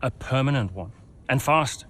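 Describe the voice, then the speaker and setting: An actor playing a racing team boss who talks in a persuasive, reassuring way. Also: male voice, mid-range voice, lively intonation, moderate pace, a bit bright, slight background noise, natural voice.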